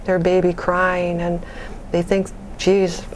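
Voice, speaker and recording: A woman playing a patient bereavement counselor on a support call, gentle, measured and reassuring in the way she talks. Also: on the low side, monotone, background noise. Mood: calm, reassuring. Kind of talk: role-played counseling phone conversation.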